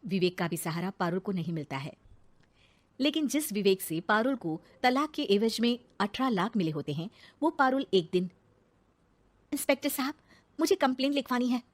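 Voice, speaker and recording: A woman's voice: medium pitch, expressive, moderate pace. A woman narrator reading a scripted moral story in a dramatic storytelling style.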